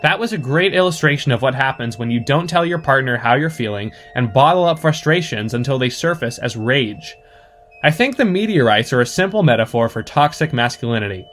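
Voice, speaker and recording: A man's voice: medium pitch, animated, fairly quick, a bit bright, soft background music. A man giving articulate, scripted commentary as a listener sending in his thoughts.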